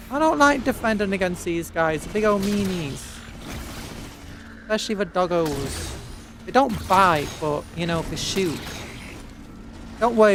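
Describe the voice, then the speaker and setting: A British woman streams a game with casual, off-the-cuff commentary. She is playful and chatty, often addressing the game directly. Music plays clearly behind her voice. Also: lowish voice. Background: game audio and music.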